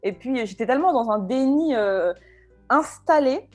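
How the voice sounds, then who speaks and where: A woman in her twenties from France, talking casually as an interview guest, often pausing with fillers and restarts. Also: high voice, animated, soft background music. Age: 20s. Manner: hesitant, many fillers and restarts.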